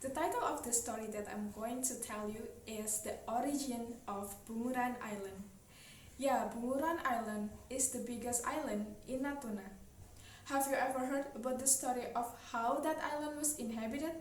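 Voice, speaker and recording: A woman's voice: on the high side, moderate pace, slight background noise. A woman from Indonesia narrating a children's story as a scripted storyteller for a puppet show.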